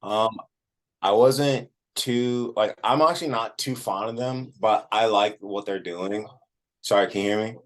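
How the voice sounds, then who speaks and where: Man, low deep voice, musician guest, casual interview chat, informal phrasing, filler "like". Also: a little slow.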